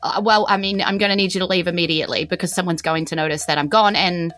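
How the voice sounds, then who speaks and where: A New Zealand woman playing an earnest, nervous but determined teenage empress in a tabletop game, speaking haltingly. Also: on the low side, fairly even intonation, fairly bright tone, faint music behind, close to an everyday voice. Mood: hesitant, urgent.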